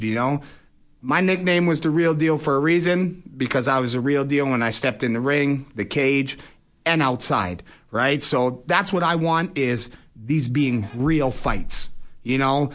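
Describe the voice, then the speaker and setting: A man's voice: medium pitch, a bit bright. Plain-spoken man, confident interview guest. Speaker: guest, boxing promoter and fighter. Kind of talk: conversational radio interview.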